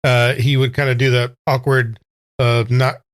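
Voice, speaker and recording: Guy playing a tabletop rpg, casually narrating his character, average pitch, dry close sound. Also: little pitch movement, unhurried, dry recording. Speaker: player in a tabletop game.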